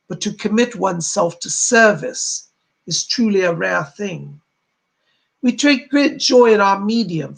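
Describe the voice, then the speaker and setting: A man as a high-pitched, gravelly spirit guide. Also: full character voice. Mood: grave, earnest. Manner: formal, old-fashioned, oratorical phrasing. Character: solemn, benevolent male spirit guide named Alfred. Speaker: trance medium speaking as a spirit. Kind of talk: séance address, sermon-like.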